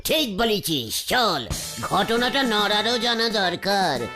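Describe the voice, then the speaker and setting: A boastful cartoon uncle, voiced by a woman. The delivery is slow and drawling, swinging widely in tone like a self-important storyteller, with music clearly underneath. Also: mid-range voice, big pitch swings. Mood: smug storytelling. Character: boastful idle uncle spinning absurd tall tales. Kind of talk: comic cartoon voice acting.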